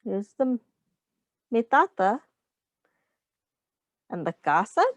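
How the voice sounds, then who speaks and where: A woman reading a picture book aloud to young children as a teacher, explaining simply and pausing to ask questions. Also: mid-range voice, sing-song, no room sound, dull audio.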